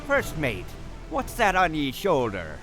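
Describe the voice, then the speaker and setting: A man's voice: fairly high pitch, wildly swooping pitch, slow, music in the background, heavily acted. A man playing a blustering, easily alarmed pirate captain with theatrical pirate talk in a comedy skit. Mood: commanding.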